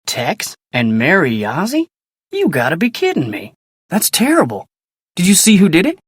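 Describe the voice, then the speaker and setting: An actor playing a polite, earnest young ranch hand in a video game, with a folksy American Western drawl and a plainspoken way of talking. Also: male voice, high voice, wildly swooping pitch, moderate pace, slightly rough, dry recording, studio quality, heavily acted. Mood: flustered. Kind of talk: video game character dialogue.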